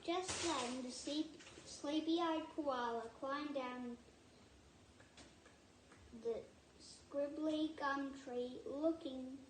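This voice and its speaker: A young-sounding female reader doing a children's storybook read-aloud, halting at times, with casual asides. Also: sits high, steady tone. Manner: halting, repeats words while reading. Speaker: storybook reader and channel host. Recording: echoey room, faint background noise.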